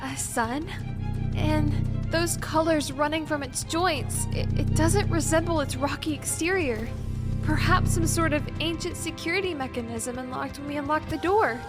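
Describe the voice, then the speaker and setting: Woman acting a high-voiced, bookish young woman explorer. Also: normal speed, natural voice. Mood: astonished, puzzled. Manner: analytical, wordy, stammers when rattled. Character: bookish, flustered young woman explorer who analyses ancient ruins. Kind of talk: audio drama acting. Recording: music under the voice.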